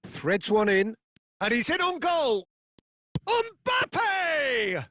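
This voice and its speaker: A man's voice: very high-pitched, wildly swooping pitch, drawn-out words, pushed and bright, exaggerated voice. A man voicing a football video game's play-by-play commentator, with dramatic, punchy phrasing. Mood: excited.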